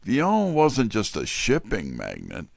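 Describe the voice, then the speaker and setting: An actor playing a wry, tough, wisecracking detective's assistant in hardboiled first-person mystery narration and dialogue. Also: male voice, on the low side, huge pitch swings, takes it slowly, creaky, dry recording, studio quality, exaggerated voice. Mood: wry storytelling.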